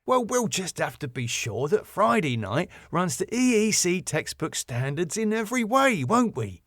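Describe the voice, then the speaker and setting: A man's voice: high-pitched, very animated, moderate pace, fairly bright tone, dry recording, studio quality, pitched above the performer's own voice, full character voice. A man voicing a cheeky, glib music promoter who wisecracks and placates with a flippant manner. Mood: placating.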